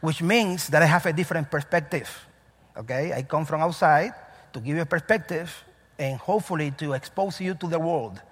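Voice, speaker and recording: A male pastor with a Cuban accent delivers an earnest sermon in a church sanctuary. His voice sits a little high for a man and has a husky edge. The long reverb of the hall trails after every phrase. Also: normal speed, a bit bright. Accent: Cuban Spanish. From Cuba. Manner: earnest, repetitive, emphatic preaching with frequent restarts.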